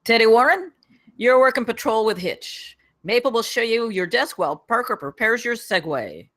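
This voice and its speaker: A woman's voice: on the high side, lively intonation, moderate pace, a bit bright. A woman reading the part of an impatient, commanding campus security captain at a script table read.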